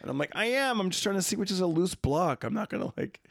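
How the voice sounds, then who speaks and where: Easygoing joking man, mid-pitched voice. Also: big pitch swings, fast, vocal fry, a bit bright, dry recording, studio quality. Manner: easygoing, laughs often, jokes, many fillers. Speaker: podcast co-host. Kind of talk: casual chat.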